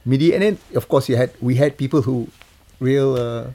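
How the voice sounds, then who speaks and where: A man's voice: medium pitch, normal speed, dark tone. An older man, chatting casually as an interview guest and telling stories about his working life. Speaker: guest, TV music composer and mixer.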